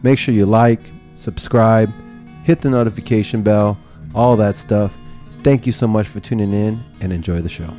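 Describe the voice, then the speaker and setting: A man's voice: fairly low pitch, normal speed, hardly any pauses, warm tone, faint music behind. A man hosting a podcast, asking guests casual questions with lots of laughs and fillers. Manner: laughs often, friendly filler-heavy questions. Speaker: podcast host. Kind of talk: casual interview chat.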